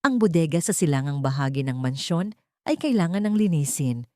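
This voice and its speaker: Stern Filipino household mistress for a storybook drama, read by a female narrator. Composed, commanding, lowish mellow voice. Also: normal speed, no room sound, clean studio sound. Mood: cold, commanding. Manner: calm but steely, commanding. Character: stern, controlling, outwardly calm woman running a mansion.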